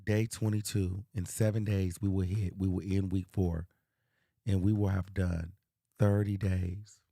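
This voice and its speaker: A man hosting a livestream with a hoarse, worn-out voice that is nearly gone. He speaks slowly in a low, dark tone with a gravelly edge. The recording is dry and close. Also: little pitch movement, dry recording. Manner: soft, subdued, talking through a lost voice. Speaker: life coach hosting a livestream. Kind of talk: casual livestream talk.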